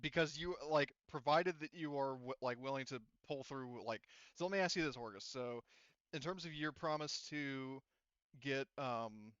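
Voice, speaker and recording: A man's voice: medium pitch, moderate pace, a bit bright, clear, resonant tone, no echo, lo-fi sound. A man working as game master, explaining rules and narrating a tabletop roleplaying game in a rambling, explanatory way.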